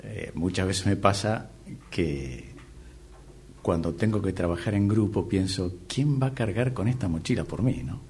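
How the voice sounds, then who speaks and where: Lively-pitched Argentine man, slightly low voice. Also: measured pace. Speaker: radio drama workshop participant. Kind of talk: casual chat. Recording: faintly noisy room recording.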